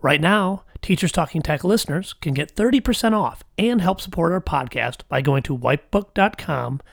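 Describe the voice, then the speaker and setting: A man's voice: a little high, lively intonation, moderate pace, vocal fry, echoey room. A man co-hosting an educational podcast, chatting casually with fillers and also reading the sponsor message. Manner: upbeat, rambling with fillers. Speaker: podcast co-host.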